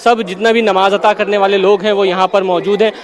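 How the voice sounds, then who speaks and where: A man's voice: sits high, little pitch movement, brisk. A man giving a live television field report as a news reporter on a street. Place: outdoors on a crowded city street. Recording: loud crowd noise around voice. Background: crowd.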